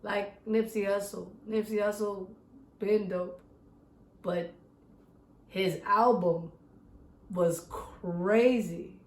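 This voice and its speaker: A woman with a medium-pitched voice hosts a casual interview and talks in a relaxed, slangy way. The room is echoey. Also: extremely slow, long pauses. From San Bernardino, California. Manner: relaxed, slangy, laid-back. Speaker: interviewer host.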